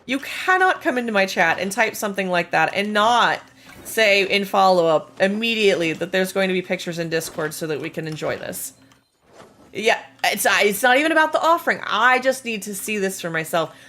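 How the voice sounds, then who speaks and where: A woman with a slightly hoarse, gravelly voice, chatting casually with viewers on a game live stream while reading and answering chat. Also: medium pitch, animated, moderate pace, fairly bright tone. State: slightly hoarse, losing her voice.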